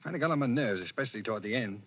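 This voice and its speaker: A man's voice: mid-range voice, lively intonation, rapid-fire. An actor playing an evasive, hesitant man on the run in a 1940s radio thriller. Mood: guarded, hesitant. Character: jittery fugitive man with lost memory, evasive noir hero. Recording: muffled, band-limited vintage radio recording.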